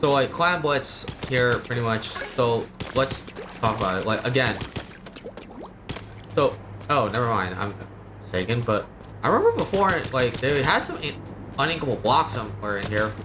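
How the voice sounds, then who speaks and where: A man's voice: mid-range voice, lively intonation, moderate pace. A man giving unscripted live game commentary in a rambling, opinionated way, explaining as he goes. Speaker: game streamer. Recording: clear background noise.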